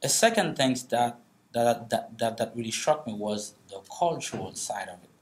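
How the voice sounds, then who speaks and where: A middle-aged man from Haiti with a Haitian accent, telling personal stories as a guest speaker, halting with many restarts and frequent laughs, in a large meeting room. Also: medium pitch, animated. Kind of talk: storytelling on a panel.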